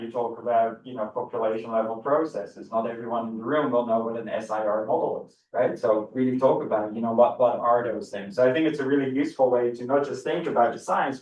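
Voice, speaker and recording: A man's voice: mid-range voice, darkish tone, slight background noise. A middle-aged man with a Dutch accent gives a university seminar lecture in a room, eager and full of repeated words and restarts. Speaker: biology professor giving a seminar. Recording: echoey room, far from the mic.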